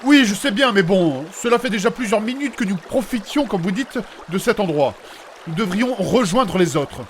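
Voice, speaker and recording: A man's voice: high voice, lively intonation, normal speed, slight background noise. A man playing a fussy, polite older man in a comic audio drama, speaking primly and formally as he coaxes. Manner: prim, formal, fussing and coaxing. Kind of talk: comic audio fiction acting.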